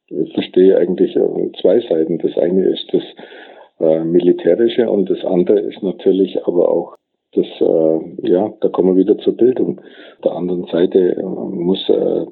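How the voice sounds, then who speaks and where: Gravelly man on a phone-like recording. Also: low-pitched. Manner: hesitant, thinking aloud. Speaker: interview guest. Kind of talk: informal opinion interview. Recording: thin phone-like sound.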